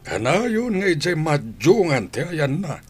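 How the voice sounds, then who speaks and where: A man with an Ilocano accent playing a worried, scolding father in a radio drama, with a husky voice. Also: on the high side, big pitch swings, a little slow, faint music behind, heavily acted. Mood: disapproving. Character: worried, scolding father complaining about grown daughter.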